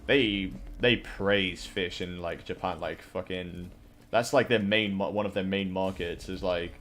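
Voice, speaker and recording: A young man from Wales with a slightly low voice, chatting casually on a live stream. He rambles, restarts his sentences and drops in swear words as he goes. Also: fairly even intonation, unhurried, a bit bright. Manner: rambling, lots of fillers and swearing.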